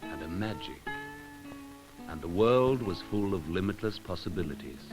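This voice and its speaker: Male narrator for a vintage educational film: low register, measured and solemn, lyrical phrasing, deliberate pauses, music on the track. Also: animated. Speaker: documentary narrator.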